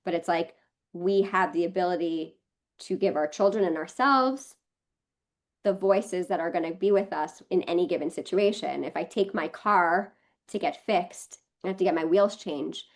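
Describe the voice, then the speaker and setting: A woman's voice: lowish voice, moderate pace. A woman with an American accent, a guest talking casually on a podcast with many fillers. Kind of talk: casual conversation.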